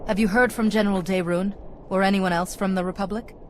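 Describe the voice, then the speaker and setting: A woman's voice: medium pitch, fairly even intonation, a little fast, slight background noise, close to an everyday voice. A woman playing a composed, direct Jedi commander in a video game, cool and matter-of-fact. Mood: businesslike.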